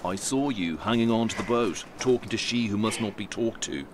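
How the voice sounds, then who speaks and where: A man's voice: lowish voice, a little fast, noisy background, natural voice. An actor playing a pompous, bitter unpublished writer in a radio drama, theatrical and sardonic, answering his own rhetorical questions. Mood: stern, menacing.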